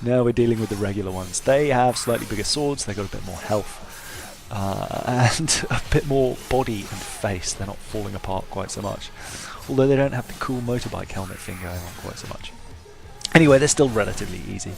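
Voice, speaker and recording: A man's voice: fairly low pitch, fairly quick, gravelly, darkish tone. A man giving casual playthrough commentary with wry, self-deprecating humour. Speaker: gaming video host.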